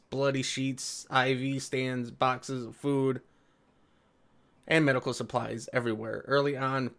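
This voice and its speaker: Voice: mid-range voice, fairly bright tone. Casting: sarcastic online commentator, mid-range voice, informal read-and-react delivery. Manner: sarcastic, mocking. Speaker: video commentator. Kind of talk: reading an article aloud with reaction commentary.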